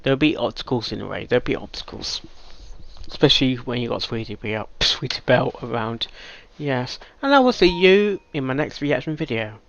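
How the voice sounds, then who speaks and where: A man giving casual reaction commentary, halting and thinking aloud, with sudden louder bursts of emphasis. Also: mid-range voice, animated, gravelly, dull audio. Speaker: reaction video host.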